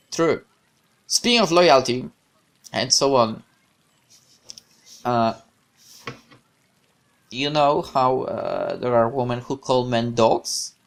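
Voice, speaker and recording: A man with a mid-pitched, everyday voice reads a visual novel aloud in the first person. Now and then he stops for rambling asides, with lots of "uh" and "well". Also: animated, very slow, vocal fry, pauses often. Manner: hesitant asides full of fillers. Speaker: game streamer.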